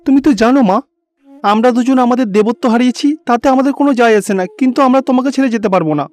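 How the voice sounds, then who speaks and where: Man playing an earnest, high-voiced boy god. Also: fast, a little mellow. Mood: devoted, insistent. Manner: earnest, pleading. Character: devoted, earnest young boy god pleading for his mother.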